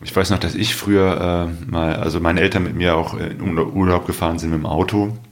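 A man with a deep voice hosts a podcast. He interviews his guests informally and adds remarks in a conversational style. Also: steady tone, fairly quick. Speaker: podcast host.

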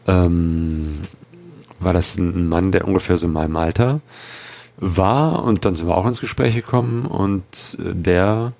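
A man's voice: low voice, gravelly, a little mellow. A man from Germany talking casually about his motorcycle trip, rambling with lots of fillers and restarts. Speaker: motorcycle travel vlogger. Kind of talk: casual travel vlog talk.

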